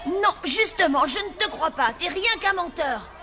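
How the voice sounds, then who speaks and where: A woman's voice: very high-pitched, animated, normal speed, a faint crowd, slight background noise, full character voice. A woman voicing a cocky, boastful, stubborn schoolboy in a children's cartoon, over music. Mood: challenging. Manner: boastful, argumentative. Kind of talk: dubbed children's cartoon acting. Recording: loud music behind the voice.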